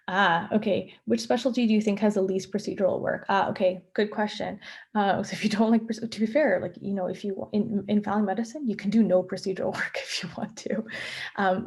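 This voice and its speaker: A woman's voice: medium pitch, warm tone. Young woman from Canada, family doctor, loose chatty Q&A delivery full of fillers, mid-range voice, video call sound. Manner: chatty, many fillers and restarts. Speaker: family physician answering student questions. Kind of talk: casual Q&A in a virtual session.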